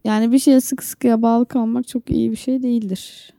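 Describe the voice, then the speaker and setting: Creaky, mellow, higher-pitched woman chatting. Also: level tone, normal speed, no room sound, studio quality. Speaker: podcast co-host. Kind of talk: casual chat, opinionated discussion.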